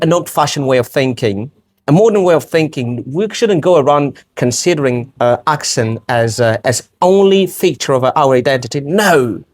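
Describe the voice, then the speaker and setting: A man's voice: medium pitch, a little mellow, dry recording, clean studio sound. A man teaching English pronunciation on a podcast, speaking with a British-sounding accent, earnest and emphatic, often repeating phrases as he builds his point. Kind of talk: podcast interview answers.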